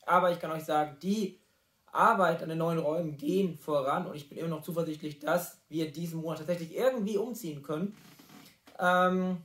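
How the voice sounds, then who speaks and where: A man with a hoarse voice from a cold, hosting his building vlog in a casual, chatty way and correcting himself as he goes. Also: on the high side, a little fast, a bit bright. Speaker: vlog host and model builder. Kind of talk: casual chat and building voice-over.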